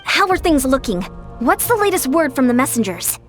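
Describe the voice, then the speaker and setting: A woman's voice: sits high, big pitch swings, moderate pace, soft background music. An actress voicing a direct, plainspoken young female warrior in a video game. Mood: frank.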